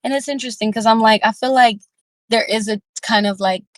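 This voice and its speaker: A woman in a casual group discussion, talking informally and haltingly as she thinks aloud, with slangy phrasing. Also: a little high, fairly even intonation, no room sound. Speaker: book club discussion participant.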